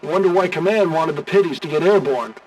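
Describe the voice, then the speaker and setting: A man plays an eager young airplane sidekick in an animated game. He talks in a folksy, wisecracking way, with a fairly high voice for a man. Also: brisk, distant mic, natural voice, low-quality recording.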